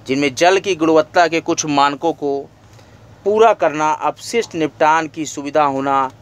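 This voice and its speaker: Man reading exam study notes aloud. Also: a little high. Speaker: exam-prep lecturer.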